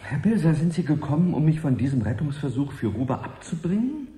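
Indignant scientist, animated intonation. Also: male voice, fairly high pitch, echoey room, a little hiss or hum. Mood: suspicious, accusing. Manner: earnest, indignant, deliberate. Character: indignant, principled male scientist, wary and determined. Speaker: actor in a radio play. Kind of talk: 1980s German radio drama acting.

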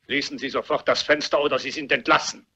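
A man's voice: mid-range voice, fairly even intonation, quick pace, pushed and bright, husky, lo-fi sound. An older man acting in a German radio play as a cantankerous, stingy old patriarch, blustering and scornful. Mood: irritated.

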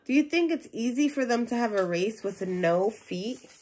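A woman's voice: on the high side, animated, moderate pace, a little mellow, slightly rough. A young woman reading a children's picture book aloud, adding simple questions and asides for young listeners. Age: young adult. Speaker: storybook reader. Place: small indoor room at home.

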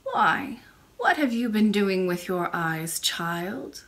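A woman voicing a very old, kindly and mysterious royal great-great-grandmother in a storybook, speaking in a calm, measured way. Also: medium pitch. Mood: gentle concern. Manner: measured, gently assured.

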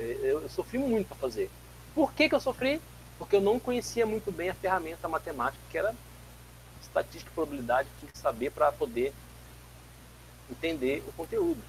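A man in his forties from Brazil speaks over a webcam in a home office, his voice sitting a little high for a man. He lectures in a lively, story-telling way, stumbling and restarting as he goes. A faint hum runs underneath the call. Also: quick pace, poor audio. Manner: animated, gestures a lot, tells anecdotes with many restarts. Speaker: guest lecturer, physics teacher. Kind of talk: casual lecture on a video call. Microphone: webcam mic on a video call.

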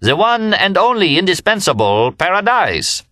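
Man acting out a high-pitched, whooping, boisterous young hipster. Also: big pitch swings, moderate pace, no room sound, studio quality, exaggerated voice. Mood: uproarious shouting. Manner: shouting, whooping, hip jazz slang. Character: exuberant jive-talking young French man, boisterous, roaring with laughter. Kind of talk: dramatised dialogue in an audiobook.

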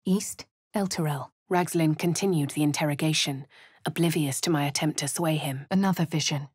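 A composed young adventurer with an upper-class English accent. She narrates her own deeds in a measured, clipped manner, slightly low in pitch. The studio sound is dry and close. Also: a little mellow, slightly rough, no room sound. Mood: calm narration. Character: posh young adult woman adventurer, composed. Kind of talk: game character narrating her actions.

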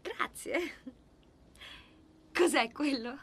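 A woman's voice: high voice, very slow, exaggerated voice. A woman dubbing a friendly, curious young woman in a film comedy. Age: young adult. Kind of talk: dubbed film dialogue.

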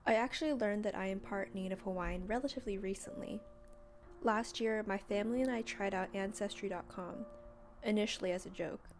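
A young woman from San Francisco, narrating her student documentary in a steady, earnest scripted read. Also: mid-range voice, brisk, faint music behind. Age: young adult.

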